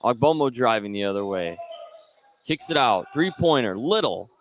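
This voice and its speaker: A man gives live basketball play-by-play in short, clipped phrases. His pitch sits in the middle range, and there is some game noise behind him, with a bouncing ball. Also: lively intonation, normal speed. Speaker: play-by-play sports commentator.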